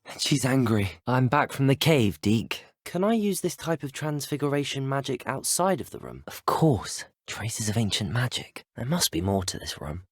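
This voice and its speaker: A man's voice: mid-range voice, very animated, moderate pace, husky, no room sound, clean studio sound. A young man with a British accent playing a polite, earnest, inquisitive teenage student wizard in a video game, courteous and well-spoken. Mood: assured, resolute. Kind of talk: scripted video game dialogue voice lines.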